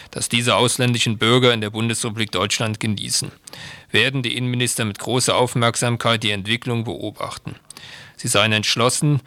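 Bright, dry-sounding man reading reports. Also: mid-range voice, no room sound. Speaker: radio reader of quotations. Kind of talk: scripted reading of official statements and reports.

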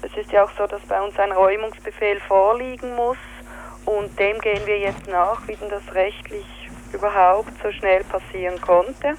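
Swiss German woman recounting haltingly. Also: mid-range voice, steady tone, a little hiss or hum, poor audio. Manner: halting, searching for words, many fillers. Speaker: activist interviewee. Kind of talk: spoken account in an interview.

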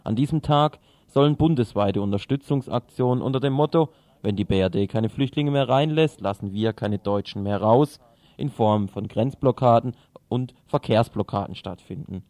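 A man's voice: mid-range voice, moderate pace, dark tone, no room sound. A man presenting radio news, reading from a script and now and then stumbling over a word.

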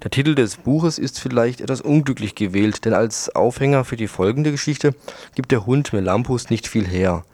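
A man's voice: medium pitch, moderate pace, darkish tone, no echo. A man presenting a radio programme, reading from a script.

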